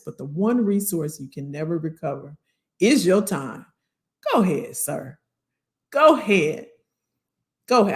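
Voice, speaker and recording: An American woman hosting a casual live career Q&A, chatting familiarly with viewers in folksy slang and repeating her phrases, her voice slightly hoarse. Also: sits low.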